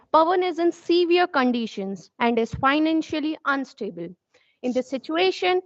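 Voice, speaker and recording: A woman's voice: high voice, no room sound. A young woman with an Indian accent, a student giving an earnest, formal competition speech with occasional restarts. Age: young adult. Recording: dull dry lo-fi recording.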